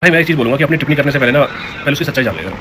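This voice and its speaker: A man's voice: medium pitch, animated, racing. A man vlogger speaking casually and directly to viewers in a rambling, aggrieved way. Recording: clear vehicle noise in background.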